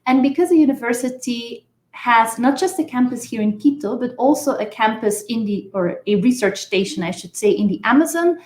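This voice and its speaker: A woman from Belgium, a professor, giving measured, explanatory answers in a podcast interview. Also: on the high side, expressive, darkish tone. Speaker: guest, engineering professor. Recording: echoey room.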